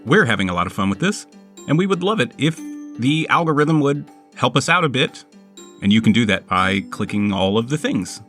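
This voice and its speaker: A man's voice: mid-range voice, sing-song, fairly quick, fairly bright tone, faint music behind. A man talking casually as the host and a player on a tabletop gaming show. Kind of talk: casual show intro and game talk.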